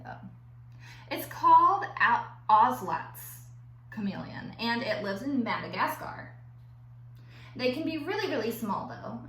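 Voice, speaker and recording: A woman teacher reading aloud to children in an expressive, playful way, drawing out words for emphasis and adding chatty asides. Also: on the high side. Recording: roomy echo with faint background noise.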